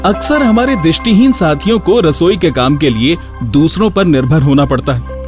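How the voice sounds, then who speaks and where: A man's voice: a little high, animated, moderate pace, darkish tone. A man presenting a radio show in a scripted narration style. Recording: music bed behind the voice. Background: background music with guitar.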